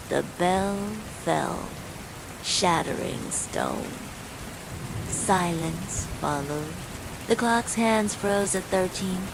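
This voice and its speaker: A woman reading a scripted horror bedtime story, with falling rain behind her. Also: on the low side. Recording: steady rain noise throughout.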